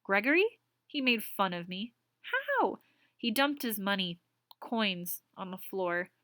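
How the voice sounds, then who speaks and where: A woman's voice: mid-range voice, expressive, a little slow, bright, forceful tone, no room sound, clean studio sound. A woman voicing a weary middle-aged female teacher who is distraught and pleading. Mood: distressed. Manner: pleading, emotional.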